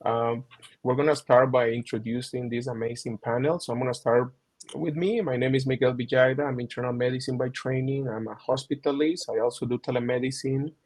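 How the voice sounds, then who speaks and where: A man hosting a video call panel, speaking as a with many pauses and fillers. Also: medium pitch, darkish tone. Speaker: panel host, physician.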